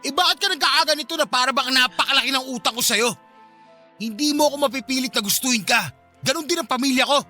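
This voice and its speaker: Give the part of a cold young husband with a high-pitched, forceful voice. He is resentful and accusing toward his wife, in Filipino radio drama style over faint music. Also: fast talker, heavily acted. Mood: accusing, scornful. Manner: scornful, accusing.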